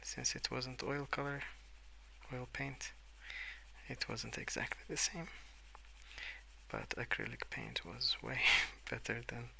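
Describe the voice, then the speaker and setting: A man speaking in a soft, hushed ASMR ramble, drifting between murmur and whisper in a hesitant, unhurried way. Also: mid-range voice, gravelly, a little hiss or hum, rough recording. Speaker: host of an ASMR colouring channel. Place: indoors at a wooden desk.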